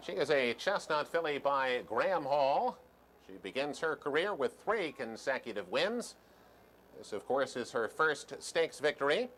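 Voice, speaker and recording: A man's voice: mid-range voice, expressive, unhurried, background noise. A man announcing a race result in a formal, announcing manner.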